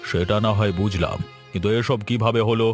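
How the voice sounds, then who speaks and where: Village patriarch for a cartoon male actor, low-pitched, stern and questioning, music clearly behind. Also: brisk, close to an everyday voice. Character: stern, moralizing village gentleman of authority. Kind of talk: Bengali animated fairy tale dubbing.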